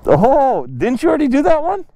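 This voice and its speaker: Laid-back young man, mellow voice. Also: very high voice, huge pitch swings, normal speed. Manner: laid-back, joking, laughs often. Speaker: motovlogger. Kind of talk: casual vlog chat. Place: outdoors on a residential street. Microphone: helmet-mounted action camera.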